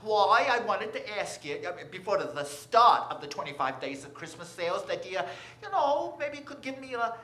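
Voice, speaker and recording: A woman's voice: medium pitch, big pitch swings, moderate pace, hardly any pauses, fairly bright tone. A woman playing a nervous, eager married man who works in a store, stammering and deferential in a radio drama. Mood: eager, flustered. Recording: roomy stage recording.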